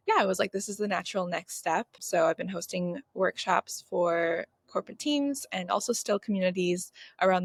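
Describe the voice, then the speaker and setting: A woman's voice: lowish voice, vocal fry, no room sound. A woman in her thirties, a podcast guest talking in an earnest, thoughtful way with some hesitations. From United States (Chicago). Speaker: guest, workshop facilitator. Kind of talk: reflective podcast conversation.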